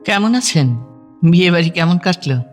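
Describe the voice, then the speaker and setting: Anxious, sorrowful middle-aged mother for radio drama, acted by a woman: expressive pitch movement, soft music bed. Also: moderate pace, natural voice. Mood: polite. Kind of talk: audio drama acting.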